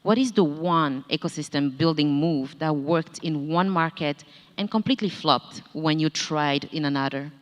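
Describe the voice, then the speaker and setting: Low-voiced Gabonese woman moderating a panel. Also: moderate pace. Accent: Gabonese French. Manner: formal, reads introductions carefully. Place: large conference hall. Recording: echoey hall, long reverb.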